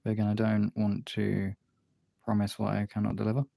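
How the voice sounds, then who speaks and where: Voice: low voice, completely flat delivery, fairly quick, vocal fry, dark tone, dry recording, clean studio sound. A livestreaming voice actor chatting casually and in a rambling way with viewers.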